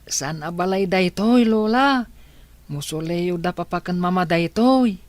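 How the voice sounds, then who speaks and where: A woman's voice: on the low side, expressive, a little slow, no room sound. A woman playing a kind, protective older-brother boy in an audio drama, plain-spoken and questioning. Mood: puzzled.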